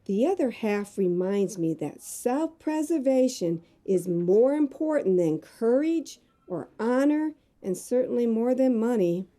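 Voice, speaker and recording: Woman acting a dark-toned, anxious lady reporter. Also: on the high side, animated, unhurried, hardly any pauses. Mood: torn. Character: earnest, fearful young female magazine reporter. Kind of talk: amateur audio drama acting.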